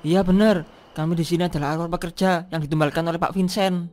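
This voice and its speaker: A man's voice: fairly high pitch, fairly even intonation, fast talker, darkish tone, soft background music, lightly acted. A man voicing the earnest, helpless ghost of a sacrificed labourer in a comic horror cartoon. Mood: explaining, resigned.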